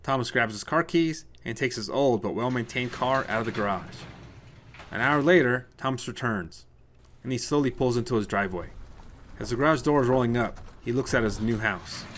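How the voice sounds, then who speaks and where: A man's voice: medium pitch, normal speed, fairly bright tone, slight background noise. A man narrating a scripted short story as a storyteller.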